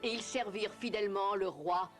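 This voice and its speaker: A narrator telling a cartoon tale in measured, scripted storybook style. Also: mid-range voice, natural voice. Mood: narrating. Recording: loud music behind the voice.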